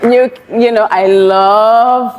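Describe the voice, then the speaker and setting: A woman from Nigeria chatting in a lively, opinionated way and laughing often. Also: fairly high pitch, drawn-out words, a little hiss or hum, rough recording. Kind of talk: casual chat.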